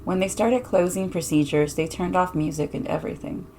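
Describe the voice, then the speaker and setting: A woman's voice: on the low side, brisk, mellow, slight background noise. A woman hosting a podcast, reading a listener's spooky anecdote in a conversational way.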